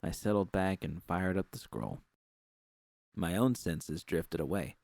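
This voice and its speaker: Male audiobook narrator for first-person fiction: deep, fry-edged voice, dry studio-like sound. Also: lively intonation, measured pace, a little mellow, dry recording.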